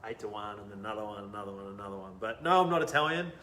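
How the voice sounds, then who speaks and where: Animated Australian man telling his story. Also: on the low side, rapid-fire, echoey room, slight background noise. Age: 30s. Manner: animated, laughs often, trails off quietly. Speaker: interview guest. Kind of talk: casual storytelling conversation.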